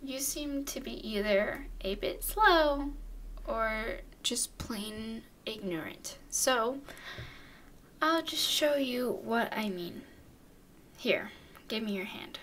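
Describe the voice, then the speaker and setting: Girl doing a haughty teasing vampire lady, asmr roleplay, kinda high voice, takes her time, breathy exasperated asides. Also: expressive, takes it slowly, a bit bright, a little hiss or hum. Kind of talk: ASMR roleplay, acted monologue to listener.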